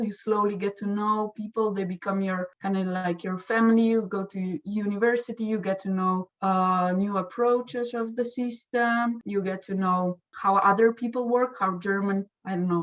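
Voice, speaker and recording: A woman's voice: mid-range voice, lo-fi sound. A young woman sharing her experience as an exchange student in a casual testimonial, speaking with many restarts and fillers. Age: young adult.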